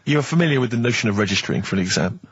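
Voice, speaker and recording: Quick-talking husky English man, dryly questioning. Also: mid-range voice, expressive. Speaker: radio co-host. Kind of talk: casual comedic questioning. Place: radio studio. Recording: muffled lo-fi radio recording.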